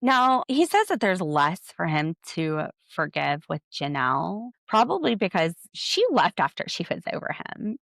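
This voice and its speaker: Gravelly American woman podcaster, dry close sound. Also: fairly low pitch, normal speed, hardly any pauses, no echo. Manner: animated, sarcastic, slangy. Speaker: podcast host. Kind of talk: casual, opinionated TV recap commentary.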